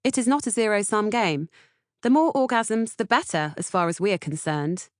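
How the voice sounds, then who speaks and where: A woman's voice: mid-range voice, lively intonation, normal speed, no echo, studio quality. A woman with a British accent reading scripted audiobook narration. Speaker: author-narrator reading audiobook, podcast co-host.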